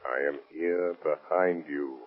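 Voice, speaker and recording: An actor playing a mysterious, menacing crime fighter in old radio drama, speaking slowly and ominously. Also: male voice, very deep voice, steady tone, exaggerated voice. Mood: coolly ominous. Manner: slow, deliberate, ominous. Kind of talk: 1930s radio drama acting. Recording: tinny, band-limited old radio recording.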